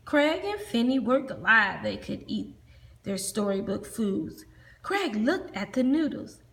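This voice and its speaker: Slow, very expressive woman storyteller. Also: fairly high pitch. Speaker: teacher reading aloud. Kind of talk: children's storybook narration.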